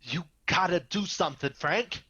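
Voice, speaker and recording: An anxious young son. His pleading voice is high and husky, in a dull radio drama recording with faint music. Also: normal speed, pushed and bright, exaggerated voice. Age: young adult.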